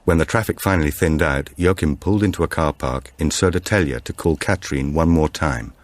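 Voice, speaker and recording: A man reading a supernatural thriller audiobook in a measured, suspenseful storytelling manner. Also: rumbling low, no room sound. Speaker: audiobook narrator. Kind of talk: scripted narration of a supernatural thriller.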